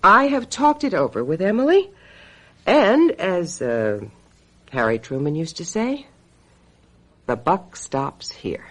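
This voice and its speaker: An actress in a radio drama playing a brisk, cutting, embittered female talent agent with a sardonic edge. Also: lowish voice, very animated, exaggerated voice. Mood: stern, scornful. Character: sharp-tongued, embittered female talent agent, secretly a witch.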